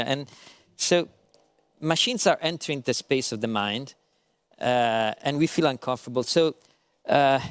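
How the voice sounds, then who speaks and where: A man moderating a panel in English, playful and animated, with halting phrasing. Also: medium pitch, no room sound. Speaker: moderator, professor.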